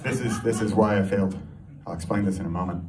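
A man performing a stand-up comedy set, telling a story in an animated way and throwing rhetorical questions at the audience. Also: low-pitched, fast. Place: live comedy show before an audience. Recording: live room, distant mic, audience noise. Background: audience crowd and laughter.

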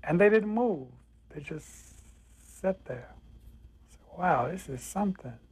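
An older man in a documentary interview, recalling past events slowly and emphatically. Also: high voice, lively intonation, a little mellow, husky. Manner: slow, emphatic recollection. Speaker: interviewee recalling the civil rights movement.

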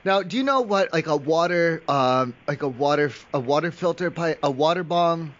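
Hesitant, rambling man with a highish voice. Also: expressive, moderate pace, a bit bright. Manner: hesitant, rambling, pleading, playing clueless. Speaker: prank caller. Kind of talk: improvised prank phone call. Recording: slightly dull, lo-fi call audio.

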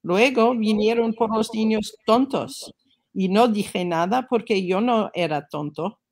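Slow, gravelly older woman on a video call. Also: mid-range voice, a little mellow. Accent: learner's Spanish with a Spain-style z. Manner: hesitant, searching for words, many fillers. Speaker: guest speaker, education researcher. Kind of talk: online book launch talk. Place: living room at home, on a sofa. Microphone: laptop webcam video call.

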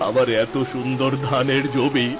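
A man's voice: medium pitch, little pitch movement, normal speed, music in the background, lightly acted. A man voicing a poor, despairing farmer and father in a cartoon folk tale, with a plaintive delivery. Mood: despairing, lamenting. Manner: plaintive, lamenting.

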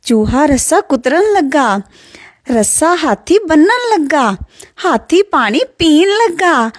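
A woman telling a children's folk tale in an expressive, rhythmic sing-song way. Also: high voice, takes it slowly, dry recording, studio quality. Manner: expressive, singsong, repetitive rhythmic delivery. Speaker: storyteller.

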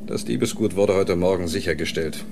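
A man playing a brisk, methodical police lieutenant in a radio crime play, questioning with businesslike authority. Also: low-pitched, vocal fry. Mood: firm, official. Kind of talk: radio crime drama acting. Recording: noisy radio play recording.

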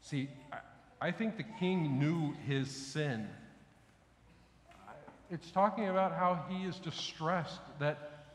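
A man's voice: fairly high pitch, takes it slowly, a little hiss or hum. Conversational male pastor in an echoey church. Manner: conversational preaching, asks the congregation questions, gestures with raised hands. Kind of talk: sermon. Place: large church sanctuary with congregation. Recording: echoey church hall, faint ambience.

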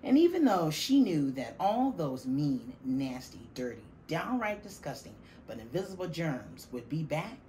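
A middle-aged woman reading a children's picture book aloud, deliberately and with dramatic stress on key words. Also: low voice, sing-song, warm tone, poor audio. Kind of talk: expressive children's storybook reading. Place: small classroom, indoors. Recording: faint room noise behind the voice.

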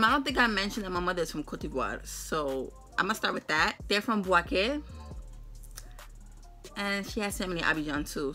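A woman's voice: lowish voice, sharp tone. A young woman from Brooklyn, chatting to camera in her bedroom, playful and slangy, laughing often. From Brooklyn, New York. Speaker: vlogger. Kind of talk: casual chat to camera. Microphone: camera in front of her.

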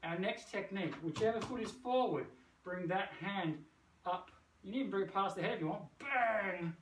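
A middle-aged male martial arts teacher demonstrating as he talks, casual and playful, punching out moves with sound words. He is picked up by a camera some way off on a covered veranda, with roomy echo and clear background noise. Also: high voice, fairly quick. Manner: physically active while talking. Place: covered brick veranda outdoors. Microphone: camera several metres away. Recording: distant camera mic, roomy, noisy.

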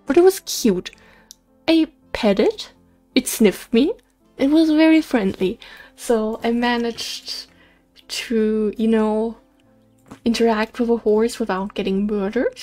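Woman, early twenties, higher-pitched, chatty storyteller, let's play commentary, quiet game music. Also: takes it slowly, pauses often. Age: 20s. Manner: chatty, storytelling, giggly asides. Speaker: gaming channel host. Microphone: USB condenser mic.

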